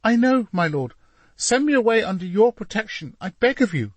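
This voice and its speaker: A man's voice: fairly high pitch, expressive, a little fast, fairly bright tone, dry recording, voice pushed up. A man voicing a distraught, imploring noblewoman, a duke's mistress, in an audiobook story. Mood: desperate pleading. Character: distraught pleading mistress of a duke, woman.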